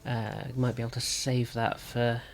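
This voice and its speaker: A man with a sore throat and slightly rough voice, thinking aloud as he gives casual commentary on a card game. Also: medium pitch, level tone, normal speed. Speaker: gaming video host.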